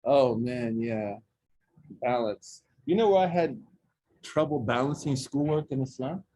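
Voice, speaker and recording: Oklahoman man in his forties, mellow husky voice, jokey teacher working the room. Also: mid-range voice, animated, measured pace, poor audio. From Oklahoma, USA. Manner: chatty, jokey, asks the audience questions. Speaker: imam leading a student session. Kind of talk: interactive talk with students. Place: campus center room with a student group.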